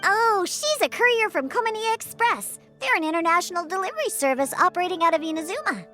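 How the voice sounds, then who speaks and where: An inquisitive little cat-eared girl from an anime game. Her voice is extremely high and bright, with eager, swooping delivery. Faint music plays behind her. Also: big pitch swings, quick pace, heavily acted. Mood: friendly, curious. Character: excitable, curious young cat-eared girl bartender. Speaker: video game voice actor. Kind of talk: scripted anime-style game dialogue.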